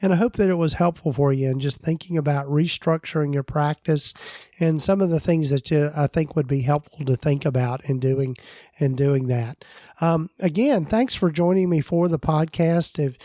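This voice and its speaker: A middle-aged man hosting a podcast alone, his voice going hoarse, talking loosely with self-corrections. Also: on the high side, normal speed, dark tone, no room sound, clean studio sound. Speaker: podcast host and practice consultant. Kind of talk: solo podcast talk.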